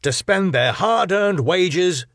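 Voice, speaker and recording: Man voicing an exasperated, high-pitched sarcastic bar manager. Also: expressive, moderate pace, dry recording, clean studio sound, natural voice. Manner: long-suffering, wordy scolding. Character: exasperated, sarcastic middle-aged male bar manager, henpecked husband.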